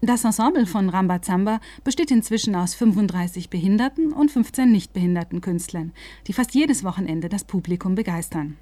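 Female narrator for radio documentary, scripted read, warm mellow tone. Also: medium pitch.

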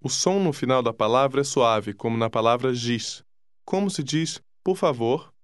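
A man narrating a language course, giving calm, deliberate prompts to listen and repeat. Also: mid-range voice, clear, resonant tone, dry recording, clean studio sound. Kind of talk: scripted instruction.

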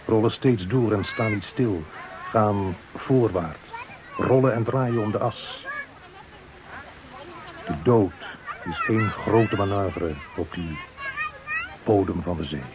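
An actor playing a grave soldier musing on desert war in a radio drama, with a measured, solemn delivery. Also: male voice, on the low side. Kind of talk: poetic radio drama monologue. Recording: noisy radio play recording.

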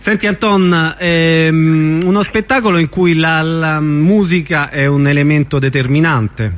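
A man's voice: fairly high pitch, fairly even intonation, measured pace. A man hosting a conversational radio interview.

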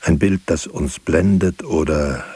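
A man playing a grave, searching writer in a literary radio drama, speaking in a measured, solemn and at times hushed way. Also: very deep voice, slightly rough, full character voice. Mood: grave, confessing.

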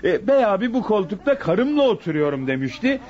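An actor playing a folksy bus attendant who chuckles and calls passengers brother in a radio comedy. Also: male voice, high-pitched, very animated, brisk. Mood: amused. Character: chatty young bus attendant, easygoing. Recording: muffled old radio recording with hiss.

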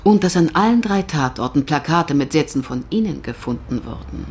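Female detective, low voice, cool, probing, matter-of-fact, insistent, radio drama, background ambience. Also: lively intonation, moderate pace, darkish tone, a little hiss or hum. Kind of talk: naturalistic German radio drama acting.

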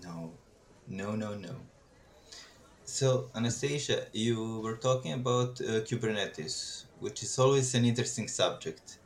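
A man hosting a casual podcast, asking questions and making dry, deadpan jokes. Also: lowish voice, a little slow, slight background noise. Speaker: podcast host.

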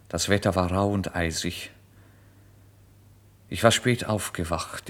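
A rather low-voiced, destitute young man confesses his story in a literary radio play. He is brooding and feverish, tormented yet exalted. His intense delivery moves between hushed murmurs and loud, impassioned declamation. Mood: dazed, brooding. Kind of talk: dramatic first-person literary narration in a German radio play.

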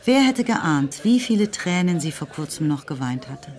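A woman giving calm, measured scripted narration for a radio play. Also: low voice.

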